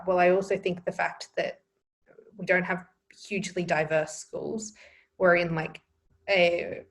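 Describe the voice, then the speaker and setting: A young Australian woman with an Australian accent, co-hosting a casual podcast chat, chatty and quick to laugh. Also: lowish voice. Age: young adult. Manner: chatty, laughs often, self-deprecating. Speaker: podcast co-host.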